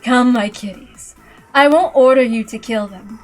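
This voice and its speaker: A cruel witch played by a woman from a visual novel reading. She taunts and gloats theatrically in a high feminine voice. Faint music plays behind her. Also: unhurried, close to an everyday voice. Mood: cruel gloating. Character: cruel, gloating female witch with cat minions.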